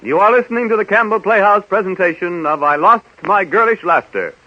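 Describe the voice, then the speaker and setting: A man's voice: sits high, a little fast, over loud music. A man working as a radio announcer, reading commercial copy in a polished 1930s style. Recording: dull 1930s radio broadcast recording.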